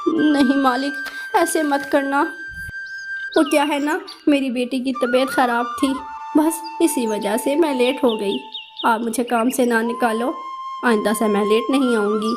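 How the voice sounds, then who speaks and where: A woman's voice: sits high, natural voice. A woman doing an anxious mother sparrow. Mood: pleading. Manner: pleading, anxious. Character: poor, worried mother sparrow. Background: background music.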